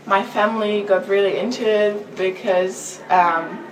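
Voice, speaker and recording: A young woman talks casually, often pausing with fillers. Her voice is mid-pitched. She is picked up from a distance with noise around. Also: fairly even intonation. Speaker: event participant.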